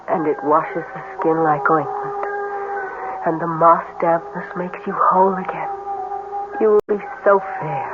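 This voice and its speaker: An actress in an old-time radio drama playing a dying, otherworldly swamp woman, tender and beguiling, with hushed, halting phrasing. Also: on the low side. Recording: noisy, tinny old radio broadcast.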